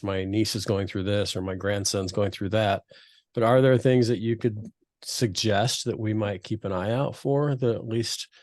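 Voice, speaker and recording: A man's voice: on the low side, a little mellow, dry recording, studio quality. A man hosting a podcast interview, asking long questions while searching for his words. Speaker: podcast host.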